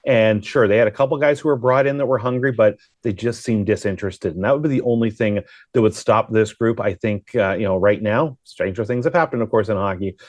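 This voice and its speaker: Male sports broadcaster, lower register, conversational analysis with rhetorical questions, no room echo. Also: fairly quick. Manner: thinks aloud with rhetorical questions.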